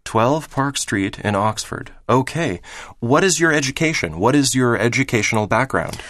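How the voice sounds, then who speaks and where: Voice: mid-range voice, lively intonation, normal speed, fairly bright tone, dry recording, studio quality, natural voice. An actor playing a helpful, courteous office clerk who methodically takes down details in a scripted exam listening dialogue. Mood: businesslike. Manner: courteous, methodical, repeats answers back.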